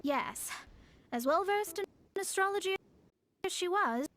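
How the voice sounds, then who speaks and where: A woman's voice: very high voice, lively intonation, a little slow, a bit bright, a little hiss or hum, exaggerated voice. A woman voicing a haughty young astrologer in a video game, with pompous, formal wording. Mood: earnest.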